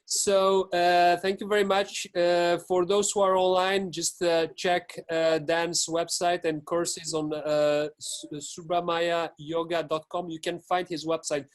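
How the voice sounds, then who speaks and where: A man's voice: high voice, flat delivery, measured pace, hardly any pauses. A man hosting a webinar on a video call, speaking politely and hesitantly with many fillers as he thanks speakers and introduces the next one. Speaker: webinar host and moderator. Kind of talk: moderating a webinar.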